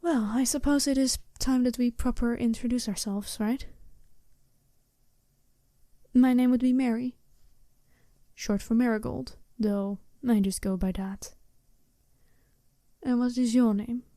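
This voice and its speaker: A woman playing a smooth, businesslike and seductive werewolf bride in a soft-spoken ASMR roleplay, speaking politely and formally in measured phrases. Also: on the high side, steady tone, dry recording, studio quality, lightly acted. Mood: polite, composed.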